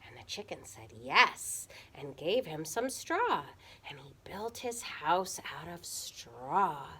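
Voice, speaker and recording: A woman telling a children's puppet-show story in a dramatic, expressive way that can drop into a hush. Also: deep voice, takes it slowly, slightly rough. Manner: dramatic, expressive, drops into hushed whispers.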